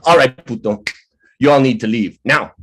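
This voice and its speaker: A man's voice: mid-range voice, normal speed, fairly bright tone, slight background noise, close to an everyday voice. A man playing a feisty, defiant small shopkeeper who curses, in a dramatic script read over a video call. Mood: angry, defiant. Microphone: home microphone on a video call.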